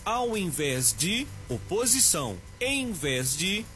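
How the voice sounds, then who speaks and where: A man with a Minas Gerais accent giving a Portuguese tip on the radio, slowing down to stress each example. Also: high-pitched, big pitch swings, bright, forceful tone, soft background music. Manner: didactic, slows down to stress examples. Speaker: Portuguese teacher.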